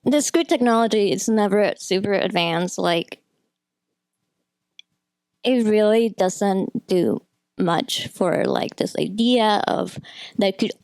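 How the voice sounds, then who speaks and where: A woman's voice: mid-range voice, takes it slowly, pauses often, a little mellow, smooth, dry recording. A woman giving an informal, opinionated film critique in a rambling, conversational way with frequent asides. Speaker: video essayist, film critic. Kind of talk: informal film critique commentary, partly scripted.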